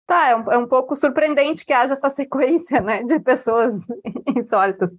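Chatty woman, bright voice. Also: high voice, normal speed, breathy edge, dry recording. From Brazil. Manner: chatty, many fillers, lively retelling. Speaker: author guest. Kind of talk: casual interview chat.

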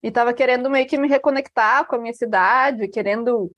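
Author guest, woman from Brazil, fairly high voice, dry close sound, rambling lively storyteller. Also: a little fast, no echo, highs cut off. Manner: chatty, many fillers, lively retelling. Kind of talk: casual interview chat.

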